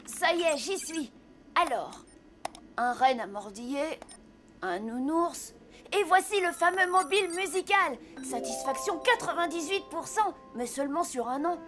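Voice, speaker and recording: A woman's voice: sits high, expressive, unhurried, fairly bright tone. A woman voicing a kind-hearted, eager young boy, an apprentice Santa in a cartoon, earnest and pleading in manner. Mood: curious. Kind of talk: animated cartoon dialogue. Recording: light music behind the voice.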